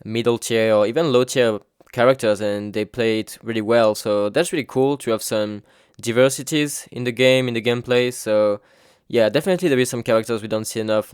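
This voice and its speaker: A man's voice: fairly low pitch, smooth, no room sound, clean studio sound. A man from France with a French accent, a native French speaker, chatting casually and informally as a featured gamer. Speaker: gamer and video editor, featured guest. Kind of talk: casual chat about gaming.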